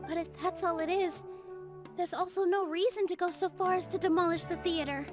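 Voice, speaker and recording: A woman playing a gentle, earnest and apologetic young stage performer in a video game, sincere in manner. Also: very high voice, steady tone, brisk. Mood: worried, pensive. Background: game music.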